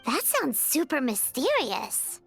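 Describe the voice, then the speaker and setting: A woman voicing a small, excitable, cheery fairy companion with a squeaky childlike voice in a video game. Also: takes it slowly, creaky, soft background music, heavily acted. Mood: curious. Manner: refers to herself in third person, exclaiming.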